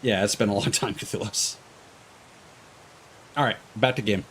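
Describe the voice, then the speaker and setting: A man with a somewhat deep voice talks off the cuff as a game streamer. His delivery is hesitant and discursive, doubling back on phrases. A light background hiss runs under the recording. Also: fairly even intonation, unhurried, a bit bright. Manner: hesitant, discursive, stop-and-start phrasing, casual swearing. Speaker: video game streamer, host. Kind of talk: casual rambling chat while streaming a game.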